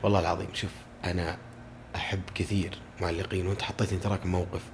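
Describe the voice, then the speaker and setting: A man's voice: deep voice, flat delivery, very fast, creaky, slight background noise. A man, a voice-over artist chatting eagerly as a podcast guest. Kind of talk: casual conversation.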